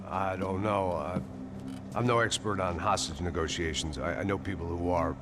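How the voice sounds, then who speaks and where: A man playing a gruff, weary, protective bodyguard in an action game. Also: deep voice, fairly even intonation, moderate pace, heavy background noise, lightly acted. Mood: hesitant, weary. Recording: music under the voice.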